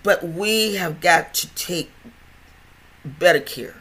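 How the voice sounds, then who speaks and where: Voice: mid-range voice, slow, sharp tone, husky, low-quality recording. An older podcast host with a stutter, talking in a chatty, rambling way with many restarts and repeated words. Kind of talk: casual health podcast monologue.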